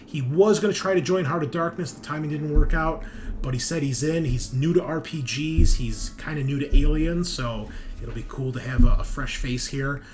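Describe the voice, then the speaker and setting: A man's voice: fairly high pitch, moderate pace, slight background noise. A man hosting a tabletop gaming show, talking casually and informally in a hesitant, rambling, conversational way. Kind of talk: casual chat, rambling announcements of upcoming episodes.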